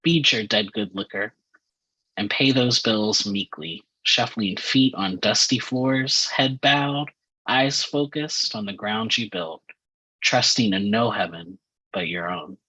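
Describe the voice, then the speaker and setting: A man giving a measured, deliberate dramatic reading of poetry in a husky voice. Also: fairly low pitch, sharp tone. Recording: dull, lo-fi audio.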